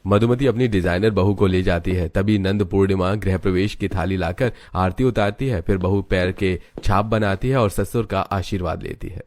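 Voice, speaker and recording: A man giving scripted narration for an animated family story. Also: deep voice, moderate pace, hardly any pauses.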